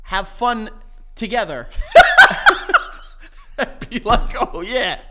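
A man's voice: very high voice, huge pitch swings, very slow, heavy background noise. A young man co-hosting a podcast in casual chat, joking and laughing often, talking into a desk mic in a small studio. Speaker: podcast co-host. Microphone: desk mic on stand.

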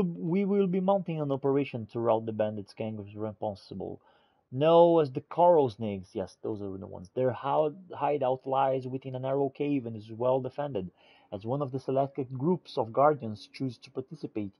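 A man giving casual in-character game commentary and reading notes aloud, halting and muttering as he goes. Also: mid-range voice, dark tone, no echo, low-quality recording. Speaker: gamer roleplaying and narrating a playthrough.

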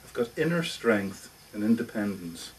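A man's voice: fairly low pitch, sing-song, moderate pace, recorded from a distance, a little hiss or hum, poor audio. A man reading a short story monologue as a lonely, socially awkward older lodger, confiding, hesitant and defensive, correcting himself as he goes. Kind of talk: first-person short story monologue read aloud. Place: live reading in a bookshop.

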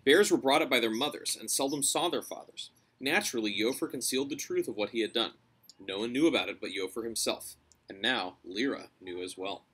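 A man's voice: mid-range voice, fairly quick, fairly bright tone, breathy edge, low-quality recording. An American man in his thirties narrating a children's novel, reading aloud on a porch. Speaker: storybook reader. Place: covered house porch, outdoors.

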